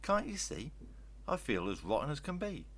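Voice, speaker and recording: A man's voice: fairly high pitch, huge pitch swings, moderate pace, fairly bright tone, breathy edge, pitched above the performer's own voice, full character voice. A man voicing a feisty, demanding, dramatic young Cinderella in a storybook reading. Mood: indignant, desperate. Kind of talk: storybook verse character voice.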